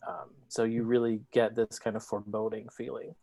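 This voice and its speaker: A man giving his views in a casual book discussion over a video call, in a tentative, halting way. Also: lowish voice, little pitch movement, mellow. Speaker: podcast panelist.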